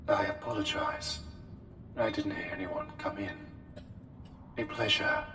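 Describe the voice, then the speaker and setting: A man's voice: low-pitched, fairly even intonation, unhurried, bright, forceful tone, a little hiss or hum. A man playing an unnatural plague doctor in an ASMR roleplay, formal, archaic and theatrical, courteous with an eerie menace. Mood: courteous, solemn. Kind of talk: ASMR roleplay, scripted monologue. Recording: echoey hall reverb, distant, music behind. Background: music.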